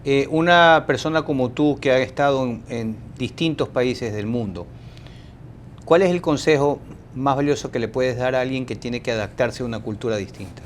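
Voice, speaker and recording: A man's voice: mid-range voice, moderate pace, a little hiss or hum. A man hosting an interview show, courteous and formal, asking questions with occasional filler words. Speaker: talk show host.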